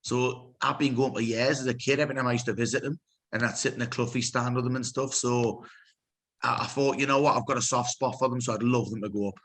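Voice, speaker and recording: A man's voice: mid-range voice, fairly even intonation, fast talker, a bit bright. A man hosting a casual chat, rambling and swearing freely. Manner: rambling, sweary, laughs often. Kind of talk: casual chat among fans.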